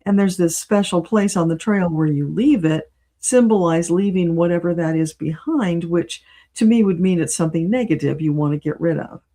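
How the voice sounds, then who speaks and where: Mellow, low-voiced woman in casual conversation. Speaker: guest, spiritual channeler and reader.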